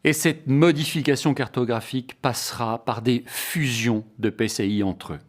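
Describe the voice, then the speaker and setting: A man's voice: mid-range voice, fairly even intonation, moderate pace. A man delivering a scripted explanatory lecture in a formal, didactic way, stressing each point as he lists it. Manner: formal, didactic, emphatic enumeration.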